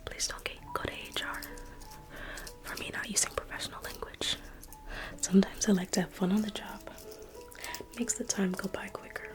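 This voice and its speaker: A woman's voice: medium pitch, fairly even intonation, normal speed, pauses often, music in the background. A young woman whispering an ASMR roleplay as a museum guide, playful and teasing like a salesperson.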